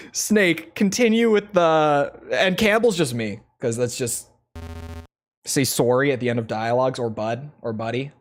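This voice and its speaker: A man giving playful let's play commentary, chatty and quick to laugh. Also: medium pitch. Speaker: video game streamer.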